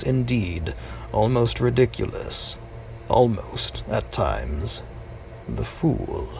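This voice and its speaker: A man reciting poetry dramatically, with deliberate phrasing, long pauses and hushed drops. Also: medium pitch, gravelly. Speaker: amateur poetry reader. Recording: distant mic with faint noise.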